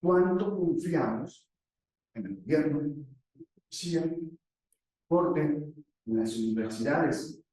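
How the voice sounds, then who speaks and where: A man's voice: on the high side, animated, takes it slowly, long pauses, mellow. A male academic economist giving an explanatory conference presentation, posing questions and answering them himself. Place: echoey conference session room. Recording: very echoey room, distant microphone.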